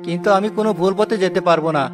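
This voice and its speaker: A man's voice: high voice, steady tone, racing, over music, lightly acted. A man voicing a poor, honest porter in a cartoon folk tale, firm and principled in his speech. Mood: hurt, earnest. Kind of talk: animated folk-tale dialogue.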